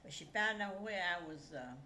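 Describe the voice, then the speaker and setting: A woman's voice: medium pitch, lively intonation, moderate pace, pushed and bright, reverberant, a little hiss or hum. An older woman tells stories in casual, rambling conversation, trailing off mid-thought. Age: older, grandmother. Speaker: grandmother telling stories. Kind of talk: casual storytelling chat.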